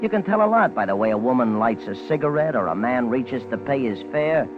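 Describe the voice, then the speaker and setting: An actor in a 1950s radio drama playing a chatty, streetwise Chicago cab driver with a matter-of-fact manner. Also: male voice, mid-range voice, big pitch swings, brisk, close to an everyday voice. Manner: talkative, casual, matter-of-fact. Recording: muffled 1950s radio recording, loud music.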